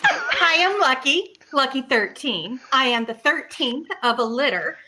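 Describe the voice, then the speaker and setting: A woman's voice: high-pitched, animated, unhurried, over music, low-quality recording. A woman voicing an eager, cuddly male cat-person with gushing, childlike enthusiasm in a tabletop game. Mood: eager, friendly. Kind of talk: tabletop role-play on a video call.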